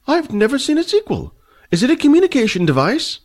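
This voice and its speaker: Male narrator voicing a prim old wizard, high fussy voice, haughty and nosy, storybook read-aloud. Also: wildly swooping pitch, a little fast, full character voice. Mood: inquisitive. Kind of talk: storybook reading.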